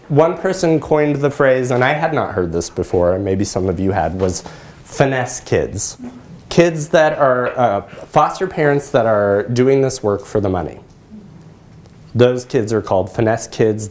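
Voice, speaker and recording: Man, thirties, American accent, medium pitch, academic presenter, measured explanatory delivery. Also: a little mellow. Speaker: researcher presenting findings. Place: lecture room, indoors.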